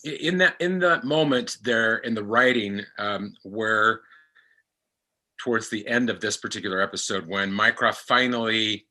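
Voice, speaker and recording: A man in casual, reflective discussion, speaking earnestly in halting, repeated phrases. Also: lowish voice, pushed and bright. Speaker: actor and podcast panelist.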